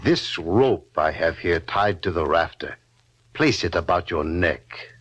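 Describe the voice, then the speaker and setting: A scheming husband in an old radio drama. He speaks very low and very slowly, brooding over each deliberate phrase. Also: expressive, fairly bright tone, breathy edge, no echo, exaggerated voice. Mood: feigned friendliness. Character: scheming, hesitant murderous husband in early America. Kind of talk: 1950s radio drama acting.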